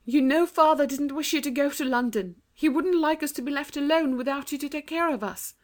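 A woman's voice: high voice, a little fast, close to an everyday voice. A woman playing an anxious, motherly young girl in a storybook, earnest and fretful as a protective sister. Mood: pleading. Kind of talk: storybook dialogue acting.

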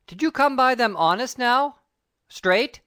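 A woman voicing a sentimental male burglar, talking loudly. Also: on the high side, expressive, unhurried, no echo, studio quality, voice pushed up. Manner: loud, rough working-class speech.

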